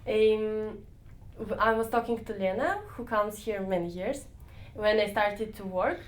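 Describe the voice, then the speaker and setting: A young woman answering interview questions, searching for words with frequent pauses and restarts. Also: mid-range voice, fairly even intonation, a little hiss or hum. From Israel. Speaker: interviewee, volunteer dance leader.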